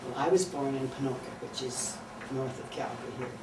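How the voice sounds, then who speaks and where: An older woman chats through interview answers and often asks questions back. She sounds far from the microphone in a roomy, echoey space. Steady noise runs behind her. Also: extremely low pitch, a little fast. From Canada. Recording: distant mic in a noisy, echoey room.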